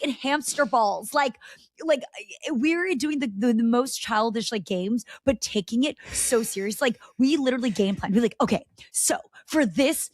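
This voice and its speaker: A woman in her thirties, a guest in a casual interview, telling stories in an animated way and acting out quotes. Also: fairly high pitch, no room sound, clean studio sound. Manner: animated storytelling with frequent laughter and acted-out quotes.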